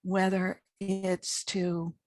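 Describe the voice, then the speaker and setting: A woman leading a guided meditation as a spiritual teacher, speaking in short, measured phrases with long pauses and a husky, gravelly voice. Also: fairly low pitch, monotone, darkish tone, no echo, studio quality.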